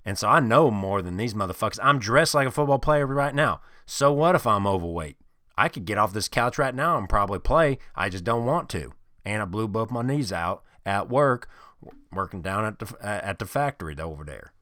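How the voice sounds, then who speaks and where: A man voicing a crude, boastful, middle-aged couch football fan who rants loudly and swears a lot on a podcast. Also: on the low side, expressive, fairly quick, no room sound, studio quality. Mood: blustering. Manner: loud, swaggering, profane ranting. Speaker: podcast host in character. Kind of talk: improvised comedy character monologue.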